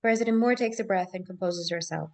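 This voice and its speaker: A woman's voice: medium pitch, a little fast. Woman narrating screenplay stage directions. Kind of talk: scripted narration at a live table read.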